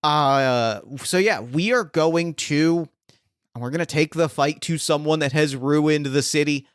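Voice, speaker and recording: Smooth-voiced man, rambling host. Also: on the high side, unhurried, no room sound, clean studio sound. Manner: rambling, gropes for words with many restarts. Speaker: game master and podcast host. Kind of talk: casual podcast chat.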